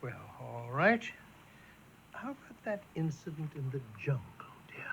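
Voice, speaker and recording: Gravelly, genial yarn-spinning husband. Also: male voice, a little high, very animated, measured pace, fairly bright tone, heavily acted. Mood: genial, reflective. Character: genial married man, host spinning jungle yarns. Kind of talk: 1980s radio drama acting. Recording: dull radio recording, faint music.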